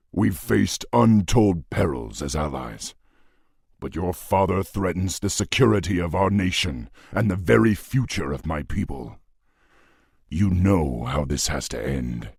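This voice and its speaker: A man voicing a noble, resolute orc warchief in a fantasy game, with a deep husky voice and weighty, stately delivery. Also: lively intonation, measured pace, darkish tone, dry recording, studio quality, heavily acted. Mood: solemn, resolute. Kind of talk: fantasy video game acting.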